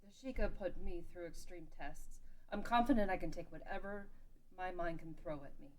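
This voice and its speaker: A woman's voice: fairly low pitch, normal speed, a little mellow, natural voice, poor audio. A woman playing a stern, devoted warrior bodyguard in a fantasy table read, recorded with some noise. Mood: determined. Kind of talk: dramatic table read of a fantasy game story.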